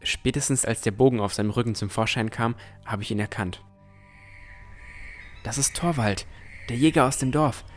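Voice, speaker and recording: Ein Mann spielt einen vorsichtigen jungen Entdecker, der sein Fantasy-Abenteuer bedacht und ernsthaft in der Ich-Form erzählt. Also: male voice, medium pitch, normal speed, long pauses, soft background music, lightly acted. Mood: wary. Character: cautious, thoughtful young male explorer narrating his adventure. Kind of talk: first-person scripted narration in fantasy audio drama.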